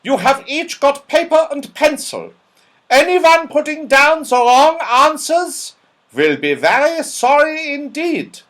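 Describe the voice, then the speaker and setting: A man voicing a cross, fierce old lady schoolmistress in a children's storybook, loud, snapping and commanding. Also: very high-pitched, big pitch swings, slow, voice pushed up, full character voice. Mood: stern, commanding.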